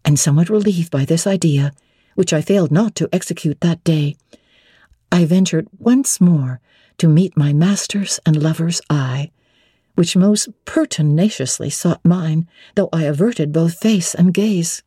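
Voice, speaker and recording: A woman narrating classic literature as a dramatic audiobook reading, measured, expressive and theatrical. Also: fairly low pitch, husky, dry recording, studio quality.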